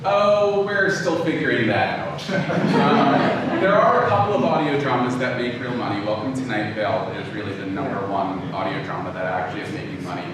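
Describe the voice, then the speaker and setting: A man giving an informal public lecture in a conversational, halting way, often restarting his phrases. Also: on the low side. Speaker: lecturer, audio drama producer. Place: indoor room talk before an audience. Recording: very echoey room, distant mic, noisy.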